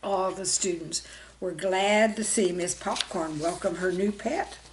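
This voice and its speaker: A woman's voice: on the low side, measured pace. A woman reading a children's story in a simple, friendly way, as if speaking directly to young listeners. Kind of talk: gentle scripted storytelling for children.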